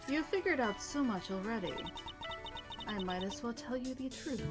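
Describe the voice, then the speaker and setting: A woman voicing a composed female judge in a game, grave and deliberate, anxiously guarding a secret. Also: medium pitch. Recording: loud music over the voice.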